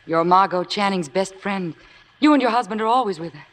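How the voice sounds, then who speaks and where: An actress playing a meek, earnest young theater fan in a 1950s radio drama, demure and deferential. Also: female voice, medium pitch, expressive, fairly quick, close to an everyday voice. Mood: shy, earnest. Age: young adult.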